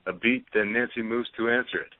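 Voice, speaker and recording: A man's voice: medium pitch, fairly even intonation, fairly quick, dry recording. A man reading stage directions as scripted narration for a play, with narrow phone-like sound.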